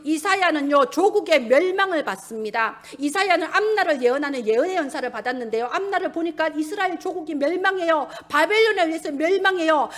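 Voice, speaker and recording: A woman's voice: high-pitched, fairly bright tone. A woman preacher delivering a sermon in an emphatic, urgent manner, putting rhetorical questions to her listeners. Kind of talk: sermon, exhorting listeners with Bible stories.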